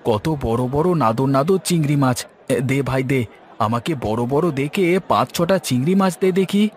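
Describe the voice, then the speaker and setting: A man's voice: medium pitch, animated, normal speed, a little mellow, natural voice. A man voicing a good-natured village farmer and doting father in a cartoon, folksy and full of exclamations. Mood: cheerful, hearty. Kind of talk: cartoon dialogue.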